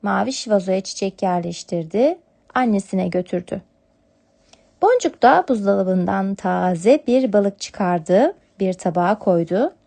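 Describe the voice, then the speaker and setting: A woman's voice: medium pitch, expressive, a little slow, gravelly, dark tone. A woman narrating a scripted storybook tale for children as a storyteller.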